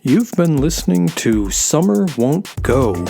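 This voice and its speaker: A man's voice: on the high side, big pitch swings, slow, gravelly, mellow, soft background music. A man announcer reading scripted closing credits for a children's radio series.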